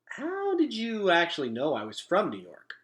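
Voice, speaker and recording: A plain-spoken boy full of wonder in a storybook, as a man reads him. His phrasing is casual and simple, with a bright tone and lively, bouncing pitch. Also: normal speed, full character voice. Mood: curious. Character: awed, plain-spoken boy from New York.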